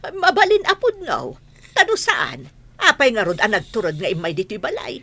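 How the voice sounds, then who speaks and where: A woman playing a brisk, practical village mother in a comedy radio drama, exclaiming and coaxing. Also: medium pitch, wildly swooping pitch, vocal fry, a bit bright, heavily acted. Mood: reasoning.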